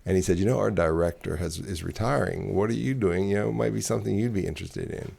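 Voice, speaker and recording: A man's voice: low-pitched, quick pace, creaky, mellow. A middle-aged man from Zimbabwe, a ministry director, telling his story in an interview with frequent hesitations and repeated words.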